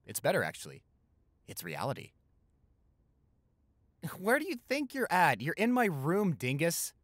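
A man's voice: on the high side, wildly swooping pitch, a little slow, a bit bright, dry recording, studio quality, voice pushed up, heavily acted. A young American man playing a playful, caring college-age boyfriend in improvised roleplay audio, teasing and reassuring with hesitant stammers. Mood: teasing, excited. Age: young adult.

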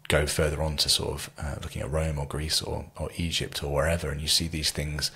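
A man in his thirties hosts a podcast over a video call. His voice is very low and rough, almost gravelly in its rasp. He speaks in a hesitant, winding way, leaning on fillers while he builds his questions. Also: level tone, fairly quick, pushed and bright. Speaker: podcast host. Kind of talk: podcast interview. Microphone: studio mic, earbuds, video call.

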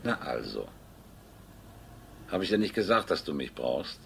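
An actor playing a menacing, sneering crook who mocks and threatens in a crime radio drama. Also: male voice, extremely low pitch, sing-song, measured pace, creaky, fairly bright tone, slight background noise, exaggerated voice. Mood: mocking. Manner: mocking, threatening. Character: menacing, sneering male crook bullying his former lover.

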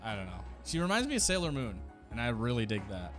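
Male streamer, casual and enthusiastic, average pitch, close home-studio mic, clear music underneath. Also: very animated, fast. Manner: enthusiastic, exclamatory. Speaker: streamer reacting to game trailers. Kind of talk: casual reaction commentary. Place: small home studio room. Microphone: close boom studio mic. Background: game trailer music.

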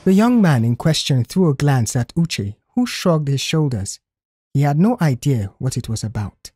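Woman narrating an audio drama, lively pitch, super dry close recording. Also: normal speed, slightly rough, dry recording. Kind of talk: scripted audio story narration.

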